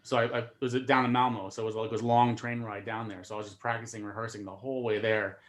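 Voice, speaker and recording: A man's voice: lowish voice, little pitch movement, fast. A man, a stand-up comedian, chatting casually on a video call and often repeating words as he starts his sentences. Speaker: comedian guest.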